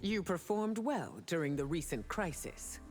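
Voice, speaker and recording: An actress playing a regal, stern warrior empress and mother in a video game, formal and measured. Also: lowish voice, very animated. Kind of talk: video game character dialogue. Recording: loud music behind the dialogue. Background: music.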